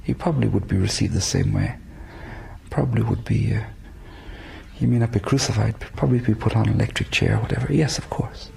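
Irish priest, raspy gravelly voice, lots of ums and uhs. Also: male voice, lowish voice, a little fast, dark tone. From Ireland, west coast. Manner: hesitant, many fillers. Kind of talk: interview answers.

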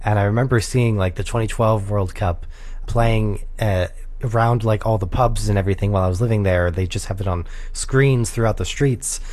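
A man's voice: on the low side, normal speed. A young man in his twenties, a music producer being interviewed on radio, talking casually with lots of "like" and "sort of". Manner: casual, relaxed, many "like" and "sort of" fillers.

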